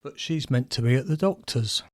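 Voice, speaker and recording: A man's voice: mid-range voice, expressive, moderate pace, close to an everyday voice. A man playing a meek, henpecked shop supervisor in an audio drama, polite and anxious, with a mild, hesitant manner. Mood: uneasy.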